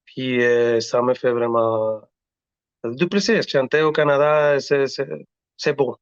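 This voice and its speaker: Singer guest, male, halting and earnest, middle pitch, muffled lo-fi recording. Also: steady tone, clear, resonant tone, no echo. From Latin America. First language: Spanish. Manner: earnest, halting, frequent restarts. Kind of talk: casual interview talk. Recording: dull lo-fi audio.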